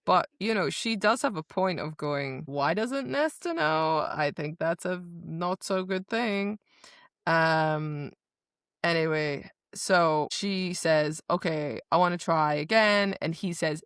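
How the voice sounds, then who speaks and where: Female podcast co-host with a lower, gravelly-edged voice, informal and emphatic in retelling; very dry, close sound. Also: slow, a bit bright, dry recording. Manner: emphatic retelling, acting out characters' dialogue. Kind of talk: casual chat recapping a fantasy novel.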